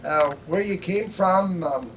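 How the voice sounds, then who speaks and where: A man's voice: high-pitched, slow pace. An American man hosting a casual interview, talking in a rambling, folksy, informal way. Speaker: interview show host. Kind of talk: casual live interview chat. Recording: distant mic, faint ambient noise.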